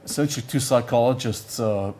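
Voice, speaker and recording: Older male economist, Yiddish first language, mid-pitched, halting conversational conference lecture. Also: darkish tone. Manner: halting, searches for words, repeats "I, I". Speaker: economics professor giving a lecture.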